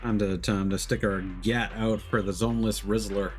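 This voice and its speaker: A male game streamer with a fairly low voice. He reads game text aloud and adds live commentary as he plays. Faint music runs behind him. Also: level tone, moderate pace.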